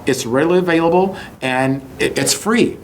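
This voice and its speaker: Man from Texas, halting, over background noise. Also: on the high side. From Houston, Texas, United States. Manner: halting, repeats words and phrases for emphasis. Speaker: interview guest, entrepreneur and author. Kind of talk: conversational personal storytelling in an interview. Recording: clearly noisy background ambience.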